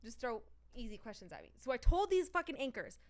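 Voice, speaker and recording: A woman's voice: on the high side, big pitch swings, fast talker. A young adult woman stand-up comedian telling stories in a casual podcast chat, swearing, laughing and acting out other people's lines.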